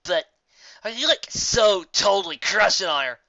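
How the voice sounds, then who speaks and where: Cocky griffon girl for a storybook, man reading, taunting drawl, sharp edge, close dry mic. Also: high-pitched, lively intonation, moderate pace, dry recording, highs cut off, pitched above the performer's own voice, heavily acted. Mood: mocking. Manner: teasing, drawling. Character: mocking, cocky young female griffon bully. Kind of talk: fan fiction storybook reading.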